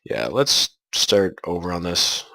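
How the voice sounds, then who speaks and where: A man with a bit of a cold, his voice sitting a little low and sounding sniffly. He talks over his gameplay in a loose, thinking-aloud way, and a steady hum of noise runs behind him. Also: takes it slowly, creaky. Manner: thinking aloud while building and flying. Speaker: gameplay commentator. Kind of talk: casual gameplay commentary.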